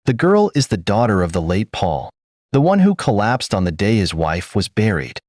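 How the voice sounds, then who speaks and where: A man's voice: fairly low pitch, very animated, moderate pace, darkish tone, no room sound, clean studio sound. A man voicing a patient older queen in a read folk tale, explaining matters to her husband. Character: calm, wise older queen, gently teasing.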